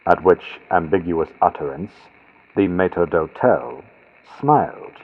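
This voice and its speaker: A man's voice: low voice, slow pace, husky, muffled recording. A man narrating a gothic story as a dramatic scripted audiobook, with a vintage radio sound from a built-in computer microphone.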